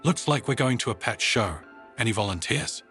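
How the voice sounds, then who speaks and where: A man voicing a sarcastic, tough but soft-hearted female starship commander in a sci-fi audio story, with a dry manner. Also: mid-range voice, animated, moderate pace, faint music behind, natural voice. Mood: grudging.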